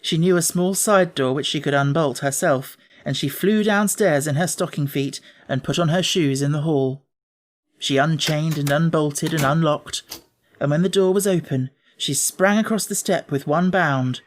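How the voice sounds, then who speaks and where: A man's voice: a little high, moderate pace. A man narrating a children's novel as a scripted audiobook reading.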